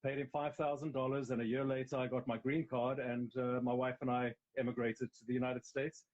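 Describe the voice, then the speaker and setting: Man, South African accent, middle-aged, candid rambling talk, mid-pitched voice, slightly dull lo-fi sound. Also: flat delivery, fairly quick, a little mellow. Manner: candid, rambling storytelling with restarts. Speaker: guest actor. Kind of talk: casual interview talk.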